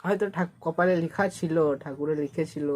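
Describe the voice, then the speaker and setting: Fast-talking young man, slightly high voice. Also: steady tone, a little mellow, a little hiss or hum. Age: young adult. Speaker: prank caller. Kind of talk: casual phone conversation.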